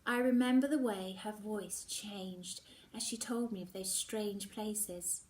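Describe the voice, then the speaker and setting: A woman teacher reading a novel aloud, expressive and slipping into whispers at tense moments. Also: mid-range voice, slow pace, far from the mic, a little hiss or hum. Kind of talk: storybook reading.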